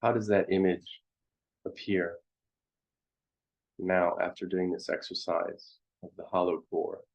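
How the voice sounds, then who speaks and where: A man leading a guided meditation as a teacher, contemplative, speaking in short halting phrases with long pauses, on a dull, muffled recording. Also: low-pitched, flat delivery, creaky, darkish tone.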